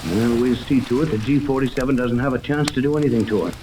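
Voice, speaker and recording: An actor playing an earnest, authoritative ship captain in a 1930s radio adventure, explaining things with urgency. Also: male voice, mid-range voice, fast, natural voice. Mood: urgent. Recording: noisy old 1930s radio, rain-like hiss.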